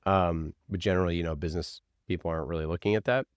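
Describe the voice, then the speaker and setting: Deep-voiced man explaining things informally. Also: steady tone, clean tone, no echo, clean studio sound. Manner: informal, halting, thinks aloud with examples. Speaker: interview guest, podcast producer. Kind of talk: conversational explaining.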